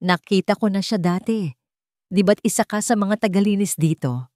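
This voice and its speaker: A woman's voice: medium pitch, moderate pace, darkish tone, no echo, studio quality, lightly acted. A woman voicing an elegant, disdainful aunt in a dramatic romance audio story, haughty and sarcastic.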